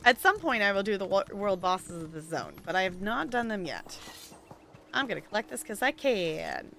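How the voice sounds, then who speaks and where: A woman's voice: mid-range voice, big pitch swings, moderate pace, creaky, fairly bright tone, a little hiss or hum. A woman in her twenties chatting casually as she streams a game, rambling from thought to thought with frequent laughs. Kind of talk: casual gameplay commentary.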